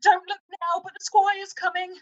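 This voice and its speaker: A cheeky young pantomime daughter, played by a woman, high-voiced and bright. She is chatty and a bit envious, in comic radio-play style. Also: flat delivery, normal speed, lo-fi sound. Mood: alarmed. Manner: chatty, confiding, comic delivery.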